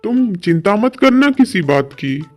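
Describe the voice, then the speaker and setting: A protective older brother from a cartoon village tale, as an actor plays him. He speaks slowly and tenderly, with a reassuring manner. Faint music sits behind the voice. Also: mid-range voice, big pitch swings, darkish tone. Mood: soothing, farewell. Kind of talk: Hindi cartoon moral story dialogue. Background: background music.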